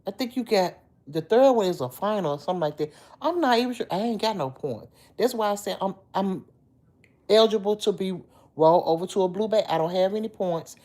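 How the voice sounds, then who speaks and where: A middle-aged woman with a deep, slightly hoarse voice, chatting casually on a livestream and answering commenters. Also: lively intonation, a little fast, warm tone. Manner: chatty, talks back to commenters by name. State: a little hoarse. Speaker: livestream host. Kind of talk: casual livestream chat with viewers.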